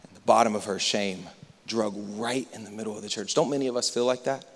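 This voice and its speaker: A young adult man from the United States preaching a sermon, moving between loud, lively passages and hushed, confiding ones. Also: fairly low pitch, quick pace. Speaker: pastor. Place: large church hall. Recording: church hall reverb.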